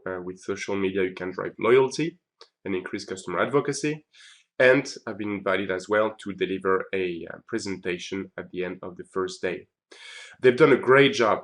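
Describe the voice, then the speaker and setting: A man's voice: lowish voice, a bit bright. A man giving a chatty conference recap on his video blog, with many ums and uhs. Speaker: video blogger.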